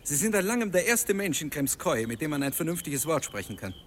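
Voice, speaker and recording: An indignant young son in a radio drama, emphatic and agitated. His fairly high voice swings widely in pitch, over faint background ambience. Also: very animated, fairly quick, slight background noise. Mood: agitated, admiring. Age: young adult. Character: resentful, agitated young law student, the governor's son. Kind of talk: German radio drama acting.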